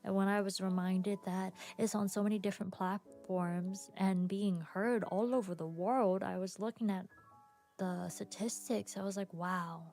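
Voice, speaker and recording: A young woman hosting her own podcast, tired, chatting casually with pauses and soft laughs. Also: mid-range voice, steady tone. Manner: soft, rambling, frequent pauses and little laughs. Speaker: podcast host and streamer. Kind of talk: casual solo podcast chat. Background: soft background music.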